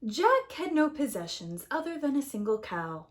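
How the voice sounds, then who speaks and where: A woman reading a storybook aloud as a preschool story-time host, expressive and deliberate for young children. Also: high-pitched, noisy background.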